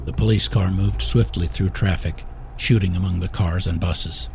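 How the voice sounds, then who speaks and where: A man's voice: deep voice, steady tone, normal speed, vocal fry, darkish tone, slight background noise. A man narrating a short story from a script in a dramatic reading style. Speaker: audiobook reader.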